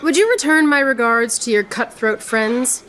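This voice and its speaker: Woman acting a proud, high-voiced swordswoman poet. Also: moderate pace, natural voice. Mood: proud, defiant. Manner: theatrical, sharp-tongued. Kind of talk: radio theatre acting in rhymed verse.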